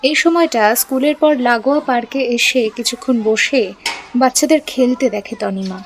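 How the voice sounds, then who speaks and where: A woman's voice: fairly high pitch, normal speed, a little hiss or hum, poor audio. A woman reading a scripted audio story as its narrator. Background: background music.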